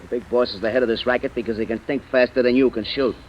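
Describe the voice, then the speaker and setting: A hard-boiled hoodlum played by a man from a radio crime play, rattling out slangy, clipped lines at a quick pace. His medium-pitched voice sits over clear background noise. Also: fairly even intonation, highs cut off, natural voice. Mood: bullying. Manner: slangy tough-guy talk, clipped. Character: tough gangster henchman, bullying but cowed by his boss. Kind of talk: old-time radio drama acting.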